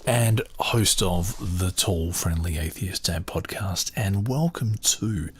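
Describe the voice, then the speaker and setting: A man with a deep voice hosting his podcast, talking in a relaxed, rambling way with hesitations and self-corrections. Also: slow, breathy edge. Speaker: podcast host. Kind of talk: casual podcast chat.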